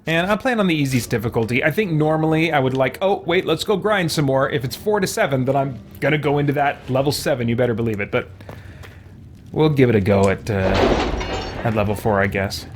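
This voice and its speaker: A man's voice: medium pitch, fast talker. A man hosting a video game let's play, thinking aloud in casual, conversational commentary. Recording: faint background noise.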